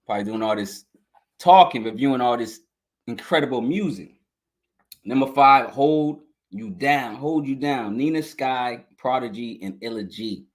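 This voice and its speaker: A man's voice: mid-range voice, unhurried. Guy hosting a podcast, hyped and slangy, voice kinda scratchy today, talks right to the viewers. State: scratchy voice this morning. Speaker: podcast host reviewing an album. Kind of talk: casual track-by-track review. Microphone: live stream podcast mic.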